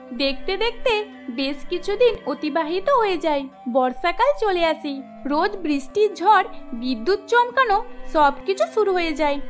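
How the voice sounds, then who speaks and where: A woman narrating a scripted cartoon story as its storyteller. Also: very high voice. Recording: music under the voice.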